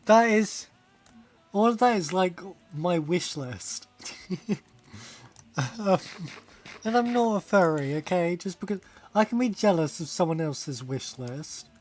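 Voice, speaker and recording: A man's voice: high voice, animated, takes it slowly, pauses often, a little mellow. A man chatting casually on a game stream, rambling, laughing often and reading chat aloud. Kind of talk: casual live-stream chat while gaming.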